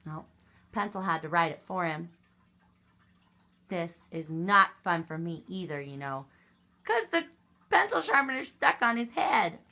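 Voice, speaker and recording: A woman's voice: on the low side, sing-song, unhurried, creaky, pauses often, pushed and bright. A woman reading a children's storybook aloud for a library story time, adding her own asides.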